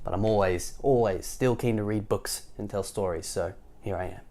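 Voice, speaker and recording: A man's voice: fairly low pitch, normal speed, dark tone. Sniffly man reading a children's book. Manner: chatty, laughs and goes off on tangents. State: head cold, sore throat, sniffly. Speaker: storyteller.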